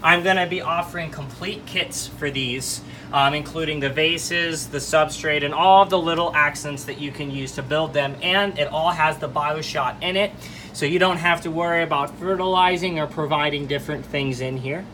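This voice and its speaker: A man's voice: a little high, normal speed, pushed and bright, a little hiss or hum. A young man giving a casual walkthrough tour of his plant shop's warehouse and grow room, upbeat and rambling with frequent ums, filmed on a handheld camera. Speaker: plant shop owner.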